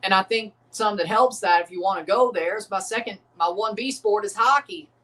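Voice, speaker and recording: A man hosts a relaxed livestream reaction, talking in loose, profane rambles. He keeps doubting his own points as he goes. His voice sits a little back from the microphone. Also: high voice, a little fast, distant mic. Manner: rambling, profane, second-guessing himself. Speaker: livestream host reacting to a match. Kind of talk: casual livestream reaction chat.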